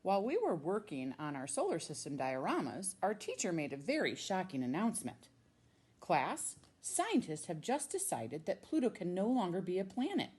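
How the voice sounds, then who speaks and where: Woman, American accent, lowish voice, very lively intonation, teacher's read-aloud storytelling, stretched key words. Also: husky. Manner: expressive, drawn-out emphasis on key words. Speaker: middle school science teacher reading a children's book.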